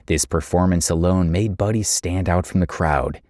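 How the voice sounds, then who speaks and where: A man's voice: low voice, normal speed, darkish tone. A man reading scripted documentary narration. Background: music.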